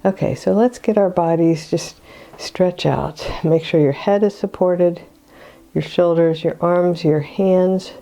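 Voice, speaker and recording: An older woman with a cold, hoarse and clearing her throat, guiding a meditation in a slow, soothing way. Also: on the low side, dark tone. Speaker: meditation guide. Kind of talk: guided meditation.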